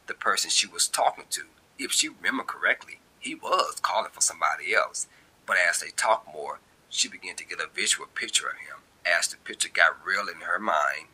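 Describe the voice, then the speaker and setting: A man's voice: sits low, sharp tone, a little hiss or hum, poor audio. A man narrating his own short story as an amateur reader.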